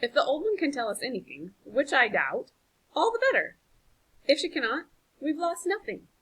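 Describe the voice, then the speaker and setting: A woman's voice: high voice, sing-song, moderate pace, a bit bright, voice pushed up, poor audio. A woman voicing a light-hearted, grinning male village baker in a storybook, breezy and light.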